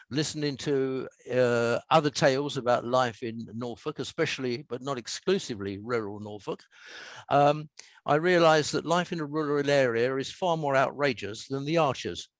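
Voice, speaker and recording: An older man with an English accent being interviewed as a writer, telling anecdotes and explaining in a measured, emphatic, conversational way. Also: medium pitch, no room sound. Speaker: author and radio scriptwriter being interviewed.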